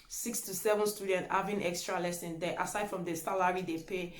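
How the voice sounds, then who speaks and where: A woman's voice: lowish voice, fairly even intonation, a little fast. A woman of about thirty with a Nigerian accent, a native Yoruba speaker, chatting casually as a vlogger and repeating words for emphasis. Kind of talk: casual chat answering tag questions. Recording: echoey room.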